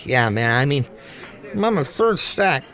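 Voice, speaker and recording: A young man acting an eager, earnest hero in an audio drama, casual and excitable in his talk. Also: mid-range voice, measured pace. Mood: casual curiosity.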